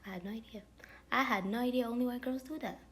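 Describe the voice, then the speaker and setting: A woman's voice: a little high, fast talker, a little hiss or hum. A woman vlogger chatting to camera in a rambling, casual way, full of fillers and dropping now and then into whispery asides. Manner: drifting, many fillers, drops into whispery asides.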